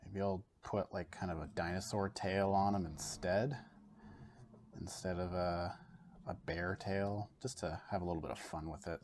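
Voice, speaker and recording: A relaxed Canadian man with a fairly low voice talks a viewer through a drawing, pausing with easy fillers as he works. He sounds like he is demonstrating at a table in his home studio. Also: slight background noise. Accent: Canadian English. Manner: relaxed, thinks aloud with fillers while drawing. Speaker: art educator. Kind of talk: casual art demonstration.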